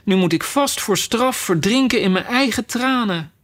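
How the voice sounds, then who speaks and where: A man's voice: sits high, animated, moderate pace, a bit bright, voice pushed up. A man voicing a polite, chatty young girl who rambles eagerly and apologizes. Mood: wondering.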